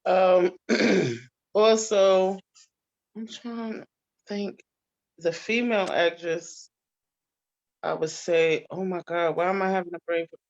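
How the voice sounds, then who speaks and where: A woman's voice: fairly low pitch, steady tone, takes it slowly, pauses often, a little mellow. Dull lo-fi recording of a woman chatting. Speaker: stage actress, interview guest. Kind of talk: casual chat. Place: remote video call on a battery-powered device. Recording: dull lo-fi call audio.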